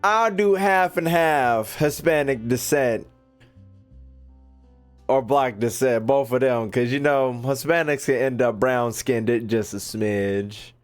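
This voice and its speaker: A man's voice: mid-range voice, slow pace, faint music behind. A young man doing casual, slangy, profane reaction commentary as a gaming streamer, riffing and repeating himself.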